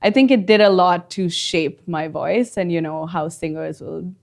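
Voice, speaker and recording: A chatty young woman, answering interview questions in a lowish voice. She giggles easily and leans on fillers as she talks. Her tone stays relaxed and conversational. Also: moderate pace, creaky. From India. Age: 20s. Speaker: guest singer. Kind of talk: casual interview chat.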